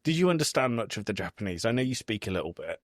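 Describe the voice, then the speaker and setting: A man's voice: lowish voice, big pitch swings, racing, no room sound, clean studio sound. A man in his thirties with a British accent, hosting a podcast in casual conversation. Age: 30s. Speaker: podcast host.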